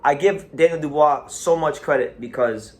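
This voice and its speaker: A man hosting a casual boxing talk show, talking in an animated way with frequent restarts and repeated words. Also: fairly high pitch, moderate pace. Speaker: boxing channel host.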